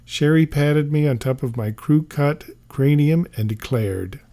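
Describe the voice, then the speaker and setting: A man's voice: fairly high pitch, a little slow, dark tone. A man giving a scripted narration, reading a memoir-style comic aloud.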